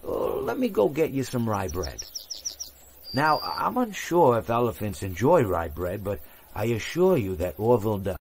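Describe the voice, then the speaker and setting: A man's voice: fairly low pitch, big pitch swings, moderate pace, creaky, slight background noise. A man voicing a long-winded male hippo storyteller from a game, meandering from tangent to tangent with stammered restarts. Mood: rambling. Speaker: game character voice lines. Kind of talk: game voice acting.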